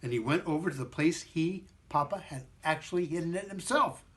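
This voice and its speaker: A man reading a children's story aloud in an expressive, theatrical way, sometimes repeating a word as he goes. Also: a little high, fairly quick. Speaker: performing arts director reading a story.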